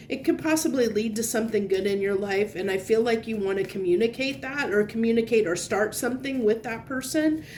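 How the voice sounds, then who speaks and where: A stuffed-up, sniffly woman talks through a tarot reading in a loose, wandering way. She circles back on her thoughts and offers gentle reassurance. A faint low rumble sits underneath her voice. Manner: meandering, searching for words, gentle reassurance. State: congested, sniffly. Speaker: tarot reader. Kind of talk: casual tarot reading to camera.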